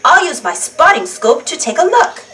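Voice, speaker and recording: Animated high-pitched woman reading a children's story. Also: moderate pace, recorded from a distance, a little hiss or hum. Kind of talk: animated read-aloud storytelling for young children.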